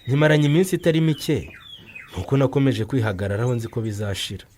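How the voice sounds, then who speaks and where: An actor playing a husband with failing eyesight, exclaiming and fretting. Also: male voice, mid-range voice, quick pace, a little hiss or hum, close to an everyday voice. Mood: worried. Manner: animated, exclamatory. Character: injured husband with failing eyesight, worried but stubborn.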